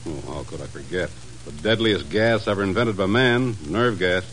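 A middle-aged man playing a sceptical, excitable intelligence chief in a 1950s radio drama, blustery and incredulous. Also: sits low, normal speed, slight background noise, poor audio. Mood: alarmed.

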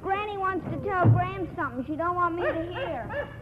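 Little kid, super high voice, confiding, muffled crackly old radio show. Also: female voice, animated, fairly quick. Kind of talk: 1940s radio drama acting.

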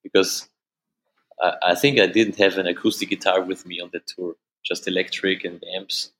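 Low-voiced Austrian man, halting. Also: little pitch movement, fairly bright tone, a little hiss or hum. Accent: Austrian German. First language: German. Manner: halting, repeats words, laughs easily. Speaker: musician guest. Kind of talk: casual interview answers.

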